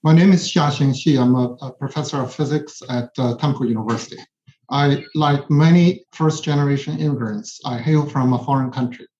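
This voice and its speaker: A man's voice: mid-range voice, fairly quick, a little mellow. A middle-aged or older man from China with a Chinese accent, a professor reading personal testimony in a formal, sometimes hesitant way. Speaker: physics professor, witness. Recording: muffled, echoey room sound.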